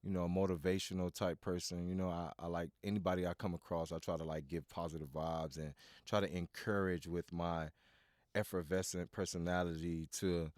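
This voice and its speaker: Man, thirties, from Miami, very deep voice, rambling filler-heavy talk, dry close sound. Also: flat delivery, fairly quick, darkish tone, dry recording. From Miami, USA. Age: 30s. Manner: rambling, full of "you know" and restarts. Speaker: guest, studio owner. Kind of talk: casual interview talk.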